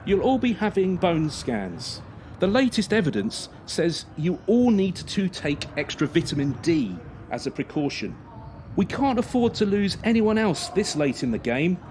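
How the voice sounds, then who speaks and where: A man's voice: high-pitched, big pitch swings, measured pace, a little mellow, a little hiss or hum. A man playing a stern gymnastics coach in a radio play, speaking in a clipped, authoritative manner. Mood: grave, businesslike. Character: stern male gymnastics team coach delivering grave news.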